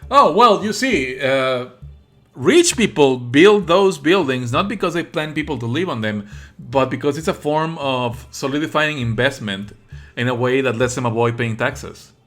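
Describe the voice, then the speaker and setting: A man's voice: medium pitch, animated, moderate pace, fairly bright tone, soft background music. A man whose native language is Spanish, giving sardonic, exasperated live commentary as he streams a game. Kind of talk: live let's play commentary.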